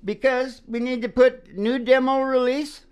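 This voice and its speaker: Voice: sits high, fairly even intonation, unhurried, a little mellow, clean tone. A singer-songwriter hosting a music show in casual, teasing banter, easily sidetracked while talking.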